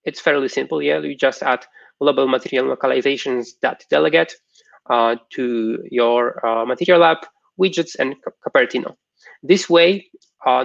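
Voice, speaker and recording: A young man, a software developer, giving an informal technical presentation online in a halting, explanatory way. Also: fairly high pitch, vocal fry, no room sound. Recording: dull, lo-fi online stream audio.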